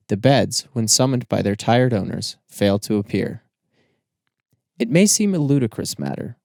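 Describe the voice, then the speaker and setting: A man reading scripted literary narration for an audiobook in a measured, formal storytelling manner. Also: fairly low pitch, gravelly, a little mellow, no echo, studio quality.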